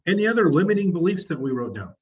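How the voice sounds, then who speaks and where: A husky-voiced man coaches a group over a video call, upbeat and encouraging as he prompts people for answers. The audio is dull and lo-fi. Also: high voice, sing-song, fast. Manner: encouraging, asks questions. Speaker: training session leader.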